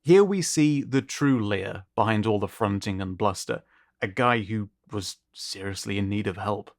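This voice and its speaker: A man with a medium-pitched voice narrates a showcase as its host. He reads prepared commentary in an appreciative, descriptive way. The recording is dry, with very little room sound. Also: no echo. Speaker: host of an art contest showcase.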